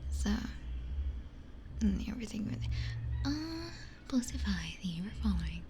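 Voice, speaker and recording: A woman whispering casually to live viewers in an ASMR style, hushed and breathy, close on a binaural microphone. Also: medium pitch, sing-song, unhurried, noisy background. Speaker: ASMR streamer.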